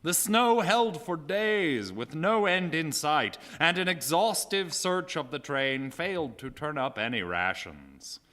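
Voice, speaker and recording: A man acting as a grave storyteller, narrating theatrically in a live radio drama with measured delivery. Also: on the high side, expressive, sharp tone, heavily acted. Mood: grave, ominous. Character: grave male storyteller recounting a snowbound train disaster. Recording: live theater hall, long reverb, music.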